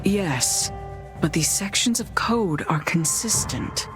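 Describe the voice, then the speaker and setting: A lowish woman's voice over clear music, a determined, skeptical investigator in a video game. She speaks firmly and matter-of-factly, without nonsense. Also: lively intonation, takes it slowly. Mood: resolute. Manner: firm, matter-of-fact. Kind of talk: video game cutscene acting.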